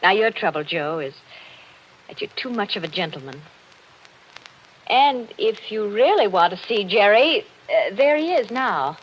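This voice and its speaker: Genteel brittle society wife, 1940s radio play, muffled old broadcast, faint hiss. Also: female voice, medium pitch, very animated, measured pace. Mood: bitter. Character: brittle wealthy wife, hurt and bitter.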